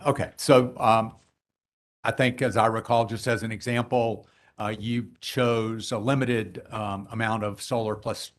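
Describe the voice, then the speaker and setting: A man cross-examining witnesses at a regulatory hearing, who says his voice is loud and fills his questions with hesitations. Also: lowish voice, steady tone, a little slow. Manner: hesitant, many fillers, loud voice. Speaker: intervener attorney cross-examining.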